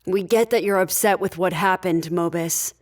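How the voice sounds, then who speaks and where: A woman's voice: lowish voice, moderate pace, darkish tone, close to an everyday voice. A woman as a dry, authoritative leader. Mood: dryly sardonic. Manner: dry, commanding. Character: sardonic, authoritative female leader. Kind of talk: scripted sci-fi audio drama.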